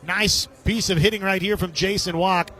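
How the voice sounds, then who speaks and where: Male sports radio commentator, high voice, live baseball play-by-play in short clipped phrases. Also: fairly even intonation, moderate pace, bright, forceful tone.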